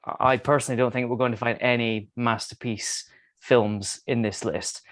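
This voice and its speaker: A man giving his opinion as a podcast panellist in a casual film discussion, hesitant and measured. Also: medium pitch, fairly even intonation, fairly bright tone.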